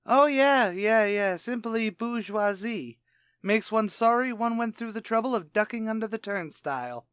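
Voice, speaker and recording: An actor playing a brash, wisecracking tavern manager who mixes street slang with pompous words in old-time radio comedy. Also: male voice, sits high, animated, a little slow, fairly bright tone, smooth, no room sound, studio quality. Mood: mock-genteel. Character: brash wisecracking tavern manager man, mock-genteel malapropist. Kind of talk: 1940s radio comedy acting.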